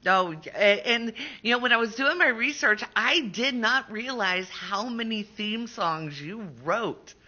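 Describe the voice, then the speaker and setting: Very bright, forceful female radio host. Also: on the high side, expressive, measured pace, few pauses, highs cut off. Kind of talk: casual promotional interview.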